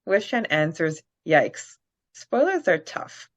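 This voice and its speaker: A woman co-hosting a podcast, reading fan answers aloud and breaking into excited laughter. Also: low voice, slow, no room sound, dull audio. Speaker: podcast co-host.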